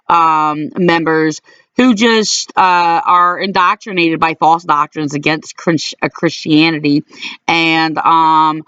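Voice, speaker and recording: Middle-aged woman, aggrieved, stop-start delivery, smooth voice, dry close lo-fi sound. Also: fairly low pitch, a bit bright, no echo. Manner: halting and aggrieved, many fillers and restarts. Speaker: complainant recounting calls to police. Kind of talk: personal recorded monologue. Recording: dull lo-fi dry recording.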